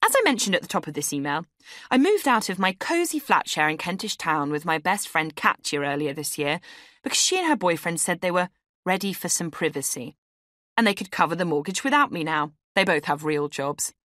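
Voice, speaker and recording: A woman acts out a young British woman reading her satirical Christmas email in an audiobook. She is brittle and over-bright, leaning on words with sarcastic, arch emphasis. The sound is dry and close. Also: fairly low pitch, big pitch swings, brisk, no echo. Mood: arch, sardonic. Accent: British English. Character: brittle, falsely cheery young female Christmas-newsletter writer. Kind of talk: satirical audiobook monologue as a round-robin email.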